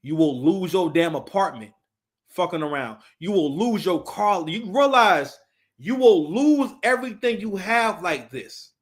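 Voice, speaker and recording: A man in his thirties with a somewhat high-pitched voice hosts a casual live stream. He speaks off the cuff and emphatically, circling back over his phrases and swearing as he goes. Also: animated, a little slow. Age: 30s. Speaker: live stream host giving commentary. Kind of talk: casual, unscripted opinionated commentary.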